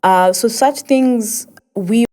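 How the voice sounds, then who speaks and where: A young woman with an East African accent, talking casually and reflectively as a host and poet, expressive and often repeating herself as she searches for words. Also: a little high, slow. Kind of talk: casual reflective monologue with code-switching.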